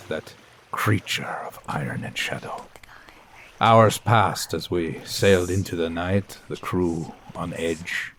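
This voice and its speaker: A man playing a brooding, awestruck professor, narrating a sea voyage in solemn, dramatic first person. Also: sits low. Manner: solemn, dramatic, hushed intensity. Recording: noisy sea and boat ambience.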